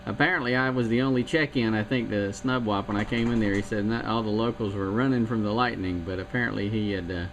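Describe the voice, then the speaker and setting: A man's voice: fairly low pitch, fairly even intonation, fast talker, hardly any pauses, a bit bright, low-quality recording. A man from South Carolina chatting casually on CB radio, rambling with fillers about old radios and the weather. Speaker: CB radio operator.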